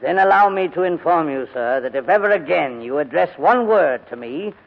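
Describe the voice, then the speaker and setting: An actor playing a proud, cantankerous elderly English gentleman in a wordy, pompous 1940s radio drama style. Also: fairly high pitch, lively intonation, normal speed, vocal fry. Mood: indignant. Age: older. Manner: pompous, wordy, indignant, hesitating. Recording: dull vintage radio broadcast recording.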